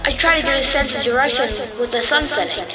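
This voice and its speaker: A woman playing a frightened, guilt-ridden young boy in an audio drama, halting and tearfully pleading. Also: fairly high pitch, recorded from a distance, highs cut off, over music, a crowd in the background, natural voice. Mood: nervous.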